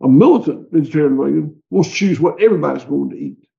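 A man's voice: on the high side, lively intonation, fast, gravelly, mellow, muffled recording. A man with a Southern US accent, a livestock farmer talking in an interview, rambling and folksy with frequent restarts. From American South. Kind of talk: conversational interview.

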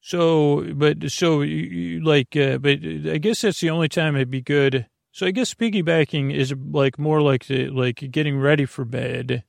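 A man hosting a bedtime podcast in a creaky voice, meandering gently through silly tangents and halting self-corrections. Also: on the high side, fairly even intonation, dry recording, clean studio sound. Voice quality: creaky, gravelly. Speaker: podcast host. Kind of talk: rambling, soothing bedtime monologue.

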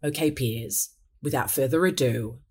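A woman's voice: extremely low pitch, measured pace, slightly rough. A woman hosting a podcast, reading a scripted intro and then chatting enthusiastically with a guest. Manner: enthusiastic, upbeat, animated. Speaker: podcast host.

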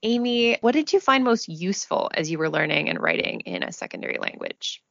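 A woman hosting a relaxed discussion about learning to write in a second language, speaking in a thoughtful, measured way with light laughs. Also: lowish voice, vocal fry, no echo, highs cut off. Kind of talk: conversational discussion.

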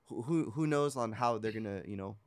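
A man co-hosting a podcast, talking casually in halting, tentative phrases. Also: lowish voice, dry recording. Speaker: podcast co-host. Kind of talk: casual chat.

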